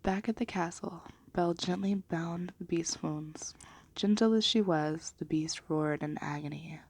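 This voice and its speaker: Female ASMR reader, hushed and deliberate, lower voice with gravelly fry, for children's storybook narration. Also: a little hiss or hum. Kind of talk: soft-spoken and whispered ASMR storybook reading.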